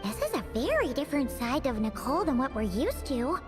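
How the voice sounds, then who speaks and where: A woman voicing a tiny, childlike fairy companion in an anime game, squeaky, chirpy and excitable. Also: a little fast, music in the background. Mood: puzzled. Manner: excitable, refers to self by name.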